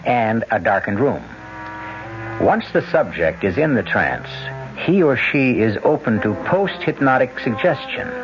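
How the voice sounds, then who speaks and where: A man narrating a radio mystery drama in a measured, wry way. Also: mid-range voice, big pitch swings. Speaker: narrator host. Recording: dull old radio broadcast with music.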